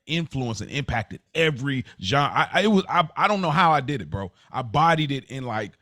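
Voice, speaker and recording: A man co-hosting a podcast, telling stories in a casual, conversational way, halting and repeating phrases as he works out his point. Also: medium pitch, lively intonation, sharp tone, dry recording, studio quality. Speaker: podcast co-host.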